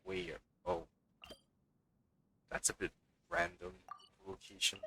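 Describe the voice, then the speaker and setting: A man's voice: low voice, sing-song, slow, gravelly, sharp tone, a little hiss or hum. A man giving casual let's play commentary in short, muttered reactions as a game streamer. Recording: faint game music behind.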